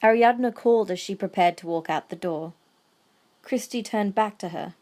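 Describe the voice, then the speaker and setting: Give me a female story narrator, medium pitch. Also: normal speed, a little mellow.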